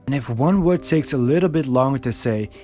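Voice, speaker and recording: A man's voice: medium pitch, animated, a little fast, darkish tone, soft background music. Earnest male video essay narrator. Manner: earnest, reflective explaining. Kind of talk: scripted voiceover narration. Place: recorded alone.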